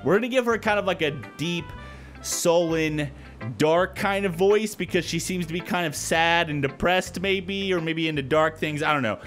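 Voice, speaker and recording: A man's voice: on the high side, moderate pace, a bit bright. Casual, jokey man over background music. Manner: informal, profane, jokey. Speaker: gaming video host. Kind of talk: casual let's play commentary, reading aloud.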